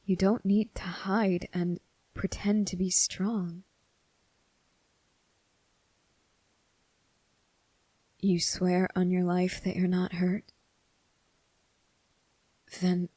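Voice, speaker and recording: Kind young male cadet female performer, ASMR roleplay. Slightly low, dark soft tone, unhurried, polite, hesitant, reassuring. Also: level tone, husky, no room sound. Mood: concerned, insistent. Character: kind, earnest teenage boy military cadet, soft-spoken and caring.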